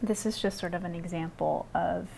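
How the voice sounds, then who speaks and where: A woman's voice: lowish voice, fairly even intonation, moderate pace, vocal fry, mellow, slight background noise. A young woman textile artist from Guatemala whose first language was Spanish, speaking casually in an interview, with lots of fillers and restarts. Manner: rambling, many fillers and restarts.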